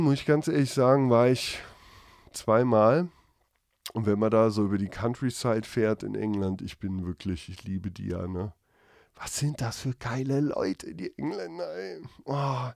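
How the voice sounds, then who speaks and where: A man's voice: mid-range voice, vocal fry, darkish tone, no room sound, studio quality. A German man in his thirties hosting a podcast with a casual, comedic, rambling monologue full of slang and swearing. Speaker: podcast host.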